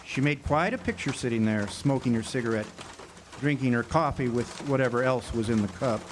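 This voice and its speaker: An actor playing a world-weary drifter, narrating a hardboiled radio drama in a wry, hesitant way. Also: male voice, mid-range voice, brisk, natural voice. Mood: wry, reflective. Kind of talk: noir-style radio drama narration and dialogue. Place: outdoor amphitheater stage. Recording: noisy outdoor live recording with birdsong.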